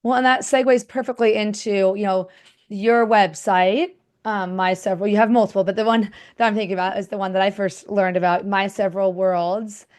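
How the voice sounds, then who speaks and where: A woman's voice: mid-range voice, steady tone, brisk, a little mellow. A middle-aged woman speaking as a podcast host, chatting informally and thinking aloud as she interviews a guest. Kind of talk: casual conversational interview.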